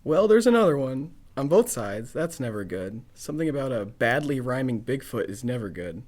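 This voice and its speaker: A man acts out a young scout hero from a radio adventure. He is plucky and jittery, muttering wry, exclamatory asides to himself. His pitch leaps about with great animation. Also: very animated, moderate pace, a little mellow. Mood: wry dread. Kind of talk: radio drama acting.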